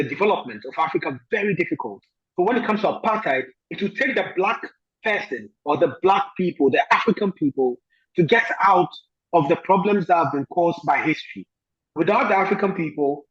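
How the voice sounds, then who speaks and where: A man from Ghana, a guest answering interview questions in a thoughtful way, pausing often with fillers. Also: on the high side, dull audio.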